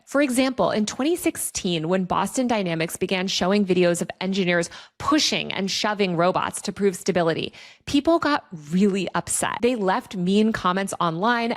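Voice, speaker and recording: A woman with a mid-pitched voice presents video essay commentary as a host. She explains things in a conversational manner, mixing scripted narration with casual asides and everyday slang. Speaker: host of a tech and online culture video channel.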